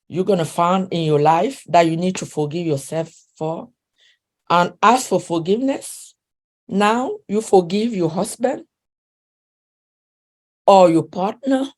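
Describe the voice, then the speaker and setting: A woman speaking English with a non-native accent, a relationship coach talking earnestly in an interview, often restarting sentences. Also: mid-range voice. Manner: earnest, with frequent restarts and soft laughs. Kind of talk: conversational interview.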